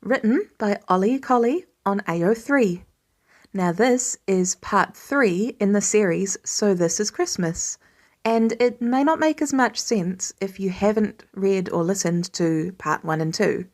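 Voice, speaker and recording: A woman's voice: medium pitch, measured pace, a little mellow. Woman reading a story aloud expressively. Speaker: podfic reader. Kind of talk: expressive audiobook-style narration of fan fiction.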